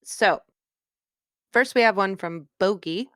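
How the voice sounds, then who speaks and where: A woman co-hosting a casual podcast, playful and teasing, quick to laugh. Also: medium pitch, a bit bright, clean tone, no echo, studio quality. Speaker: podcast co-host.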